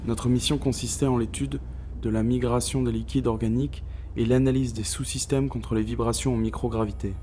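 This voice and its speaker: Male, mid-pitched and mellow: actor as a haunted spaceship scientist narrating a sci-fi audio drama, grave and deliberate, faint noise behind. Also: level tone, lightly acted. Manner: grave, deliberate, weighted pauses. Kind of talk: scripted dramatic first-person narration.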